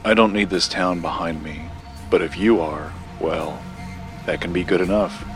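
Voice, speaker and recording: An actor playing a guarded, loyal investigator in an audio drama, speaking in a dry, understated manner. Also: male voice, low-pitched, normal speed, soft background music, close to an everyday voice. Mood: quietly earnest.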